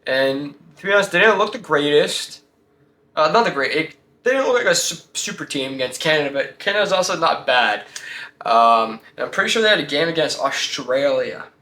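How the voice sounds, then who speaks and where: A man's voice: fairly high pitch, animated, moderate pace, bright, forceful tone, reverberant. A man hosting a casual sports talk show, giving blunt, slangy opinions and firing off rhetorical questions.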